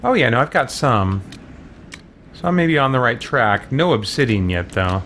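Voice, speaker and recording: A man's voice: medium pitch, lively intonation, moderate pace, a bit bright, a little hiss or hum. A man giving casual video game commentary, musing aloud to himself as he plays. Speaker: video game streamer, host. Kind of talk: casual let's play commentary. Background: game music.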